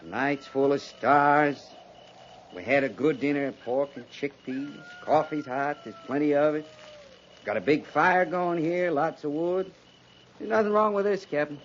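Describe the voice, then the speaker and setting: A man's voice: fairly high pitch, unhurried, close to an everyday voice. A man playing a wry, grumbling but loyal frontier sergeant with a rustic American drawl in a 1950s radio western. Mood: wry, easygoing. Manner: drawling, sardonic understatement. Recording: dull, noisy 1950s radio recording.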